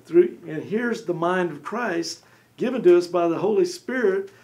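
A man's voice: high voice, normal speed, darkish tone. A man in his forties discussing the Bible in a small studio, talking animatedly with lots of gestures and brief acted-out quotes. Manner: gestures a lot, acts out little voices. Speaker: co-host. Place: small studio set with armchairs.

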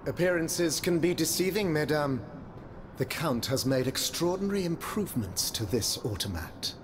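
A man's voice: on the high side, lively intonation, moderate pace, background noise, close to an everyday voice. A man playing a proud French king in a video game, speaking in a formal, declamatory, courtly manner. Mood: assured. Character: regal, proud French king addressing his queen. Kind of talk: dramatic video game cutscene acting.

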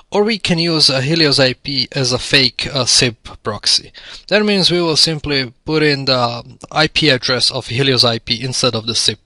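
Very bright-voiced man presenting a tech tutorial. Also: medium pitch, dry recording. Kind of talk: instructional product demo voice-over.

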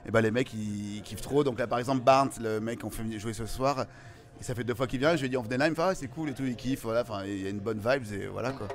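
A man in his thirties rattles along quickly and with enthusiasm, using loose slang. He sits in a restaurant booth and speaks into a reporter's handheld mic. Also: medium pitch. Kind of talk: casual interview.

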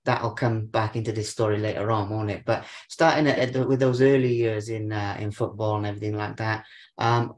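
A man's voice: medium pitch, darkish tone. A young adult man hosting an interview over a video call, asking long, hedging questions full of fillers. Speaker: interviewer, podcast host. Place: living room sofa, indoors. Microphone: webcam on a video call.

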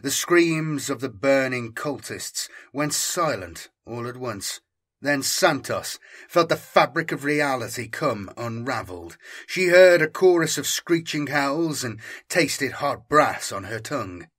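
A man's voice: mid-range voice, animated, measured pace, a bit bright. A man reading scripted fiction as an audiobook narrator, with intense, theatrical delivery.

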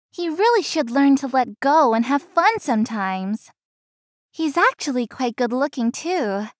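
A woman playing a gentle, dutiful young daughter in a visual novel. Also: high voice, no echo, studio quality. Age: young adult.

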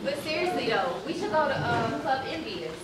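A woman's voice: high voice, sing-song, moderate pace, a loud crowd. An actress playing a brash, boastful young party girl in a stage play, talking in a slangy, dismissive, sassy way. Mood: boastful, playful. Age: young adult. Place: theater stage, filmed from far camera. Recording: echoey, noisy stage recording from far off.

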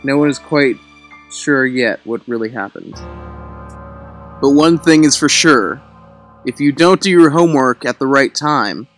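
A man's voice: medium pitch, a little slow, pauses often, a bit bright. A young man giving a mock-dramatic story reading, theatrical, breaking into casual wry asides. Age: young adult. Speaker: host reading own childhood story. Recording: music under the voice. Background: music.

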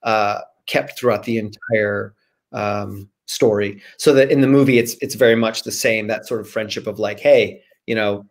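A man's voice: fairly low pitch, steady tone, normal speed. Middle-aged man chatting and reading aloud. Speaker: guest author. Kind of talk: casual chat and storybook reading. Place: small room at home, indoors. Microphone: video call, computer at arm's length.

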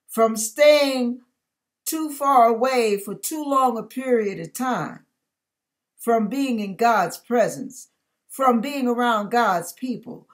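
An older woman speaks in a slightly high voice at a slow, deliberate pace. She gives an informal devotional talk, conversational yet emphatic, and often turns to the listener with rhetorical questions. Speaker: online ministry host. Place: furnished room at home.

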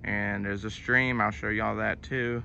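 Young west virginia guy filming his hike on a phone, laid back and chatty, bright tone. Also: on the low side, fairly even intonation, unhurried, vocal fry, lo-fi sound, slight background noise. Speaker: vlogger. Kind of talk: casual hiking vlog. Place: outdoors on a wooded trail.

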